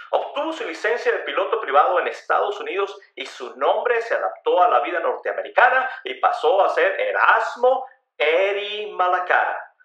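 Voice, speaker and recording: Dramatic, bright-voiced male narrator with roomy echo. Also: a little high, highs cut off. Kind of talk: dramatic scripted radio narration.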